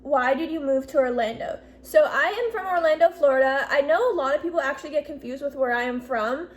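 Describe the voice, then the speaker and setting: Bubbly high-voiced young woman. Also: brisk. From US Northeast. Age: 20s. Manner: bubbly, chatty, heavy use of "like" and "literally". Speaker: YouTuber. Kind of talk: casual Q&A vlog.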